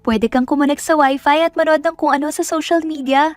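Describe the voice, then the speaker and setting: A woman's voice: high voice, steady tone, a little fast, dry recording, soft background music, pitched above the performer's own voice, natural voice. A woman voicing a bubbly, kind, chatty young female housemate in a romance audio drama. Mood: chatty, instructive. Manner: exclaiming, chatty, bossy in a friendly way.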